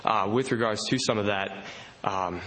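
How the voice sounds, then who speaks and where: A man's voice: lowish voice, normal speed, pushed and bright. A man from the audience, who grew up in Indonesia, asking a pastor a question hesitantly, with many fillers and an apologetic tone. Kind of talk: question from the floor.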